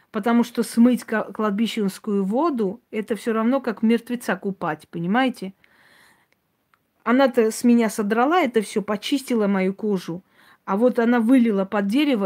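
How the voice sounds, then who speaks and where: A woman's voice: mid-range voice, a little mellow. A woman giving an informal talk and answering listeners as she goes, in a blunt, opinionated, conversational manner. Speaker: host, self-described witch. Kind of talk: informal talk to live audience, answering comments.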